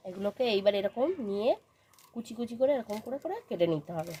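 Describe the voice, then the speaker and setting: A woman's voice: mid-range voice, lively intonation, fast talker, breathy edge. A woman from Bengal hosts a village home-cooking video, narrating each step in a plain, instructional way with repeated phrasing. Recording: loud cooking noise, sizzling and stirring.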